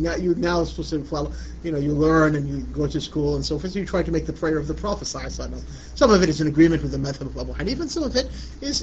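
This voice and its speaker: A middle-aged man from Iraq giving a religious lecture in a conversational way, often asking "you know what I'm saying". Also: fairly high pitch. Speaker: Islamic lecturer. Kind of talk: religious lecture answering a question. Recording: muffled lo-fi recording with light background noise.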